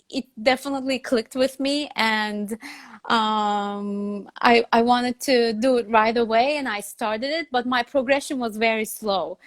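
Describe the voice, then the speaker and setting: A woman in her thirties from Turkey, talking casually as an interview guest over a video call, with many fillers. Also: mid-range voice. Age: 30s. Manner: chatty, laughs often, lots of fillers.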